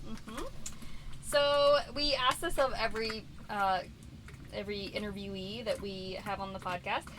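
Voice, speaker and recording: A woman hosting a casual podcast interview in an excitable, informal way. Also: medium pitch, a little slow, far from the mic. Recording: clear background noise, ambient sound.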